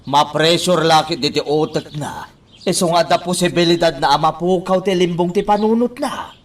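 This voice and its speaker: A man's voice: fairly high pitch, normal speed, far from the mic, natural voice. An actor playing a scheming middle-aged man in a radio drama. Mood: calculating.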